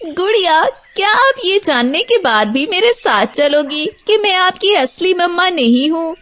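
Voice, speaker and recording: A woman's voice: very high-pitched, sing-song, measured pace, fairly bright tone, voice pushed up, heavily acted. A woman voicing an anxious, loving mother crow in a children's cartoon story, cautious and pleading. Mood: tender.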